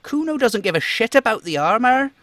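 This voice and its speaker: Aggressive young street boy, high strained voice, foul-mouthed, scornful, video game role. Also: very animated, fairly quick, a bit bright, dry recording, heavily acted. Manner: swearing, sneering, refers to himself in third person.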